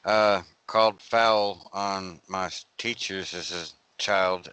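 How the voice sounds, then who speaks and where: A man introducing himself on a video call, soft-spoken and hesitant. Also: low voice, clear, resonant tone, no room sound. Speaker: guest.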